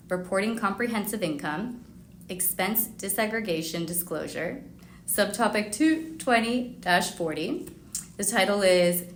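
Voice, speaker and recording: Woman podcast host in an echoey room. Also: mid-range voice. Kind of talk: scripted podcast introduction and interview questions. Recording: roomy echo, faint background noise.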